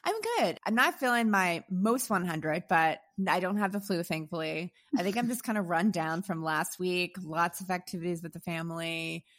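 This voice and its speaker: A woman's voice: lowish voice, moderate pace, few pauses, a bit bright, no room sound. A woman co-hosting a casual podcast in an upbeat way, with a slight cold. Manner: upbeat, runs down the episode rundown. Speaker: podcast co-host. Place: New York.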